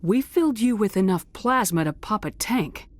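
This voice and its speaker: A woman's voice: medium pitch, animated, normal speed, natural voice. Actress as a direct, resolute woman commander. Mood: firm, questioning. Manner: direct, firm. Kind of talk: dramatic video game voice acting.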